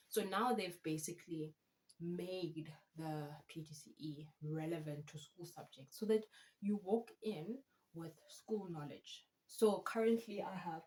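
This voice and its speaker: A woman's voice: fairly low pitch, darkish tone, poor audio. A woman in her thirties, Afrikaans her first language, chatting casually to camera about her studies and teaching, with self-mocking asides. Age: 30s. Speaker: vlogger and student teacher.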